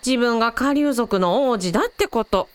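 A woman playing an affectionate, perceptive mother with a gentle, expressive manner in a Japanese-style radio drama. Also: on the high side, normal speed, smooth, dry recording, lightly acted.

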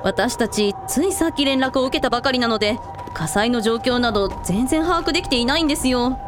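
A caring female shrine priest played by a woman takes charge in a radio drama. Her high voice is brisk and concerned, over clear background music. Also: expressive, natural voice.

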